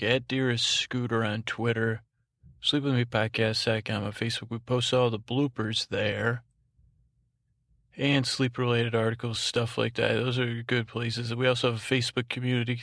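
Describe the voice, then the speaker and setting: A man's voice: mid-range voice, a bit bright, no room sound, studio quality. A man hosting a bedtime podcast, talking in a droning, meandering monologue with hesitant false starts. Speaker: podcast host.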